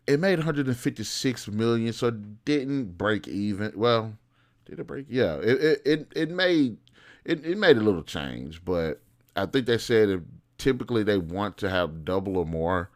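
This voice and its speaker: A man with a fairly low voice talks like the host of a review show. His commentary is casual and opinionated, in colloquial phrasing. He often circles back to repeat or reword what he just said. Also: lively intonation, measured pace. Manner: informal, colloquial, repeats and rephrases himself.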